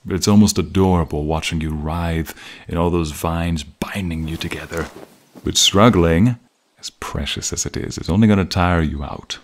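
A man voicing an alluring, menacing archmage who smugly toys with a captive in an ASMR fantasy roleplay, husky and breathy, with teasing murmurs. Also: low voice. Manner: slow, teasing, smug, drawn-out murmurs.

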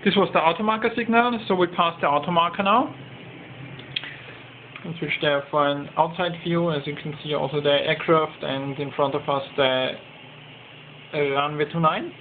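A man giving an informal demonstration, speaking haltingly with pauses mid-sentence, recorded with a tinny, narrow sound. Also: fairly high pitch, steady tone. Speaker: demonstrator of a home-built flight simulator. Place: indoor home cockpit simulator room. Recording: tinny narrow-band phone-like sound, noisy background.

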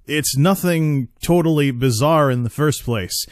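A man's voice: fairly high pitch, a little slow, no echo, studio quality. A man hosting a casual spoken commentary, talking in a chatty, rambling way with asides and restarts. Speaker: host.

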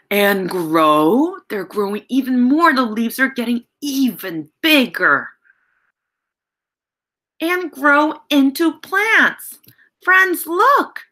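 Woman, middle-aged, bright voice, slow pace, children's read-aloud, explanatory, addresses listeners directly. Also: high voice, animated. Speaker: teacher reading a picture book aloud. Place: home room with bookshelves. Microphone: video call.

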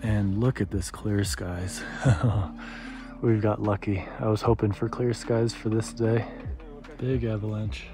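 A young man talking casually to a handheld camera as a hiking vlogger, low-key and plainspoken. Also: fairly even intonation, slow. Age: young adult. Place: outdoors in mountains and inside tents. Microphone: handheld camera at arm's length. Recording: music behind the voice.

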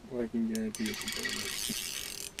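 A man's voice: on the low side, flat delivery, moderate pace, pushed and bright, a little hiss or hum. A man ice fishing with a friend at night, laid-back and encouraging in casual chat. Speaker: fishing buddy. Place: ice fishing shelter on a frozen lake at night.

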